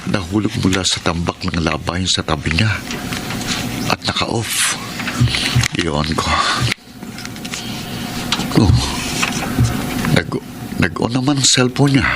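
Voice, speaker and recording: A very noisy radio drama recording carries the low voice of a wounded, suspicious Filipino husband. He speaks earnestly, with aggrieved weight and dramatic pauses. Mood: suspicious, tense. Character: troubled, wounded husband suspecting his wife of cheating.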